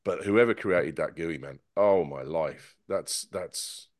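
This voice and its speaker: Sarcastic man, low voice. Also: slow pace, no room sound, clean studio sound. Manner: animated, sarcastic, stumbling restarts. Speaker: podcast panelist. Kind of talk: casual panel chat.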